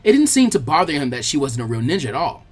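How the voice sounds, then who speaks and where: A man's voice: on the high side, sing-song, fast, slightly rough, soft background music. A man reading a scripted story aloud as its narrator.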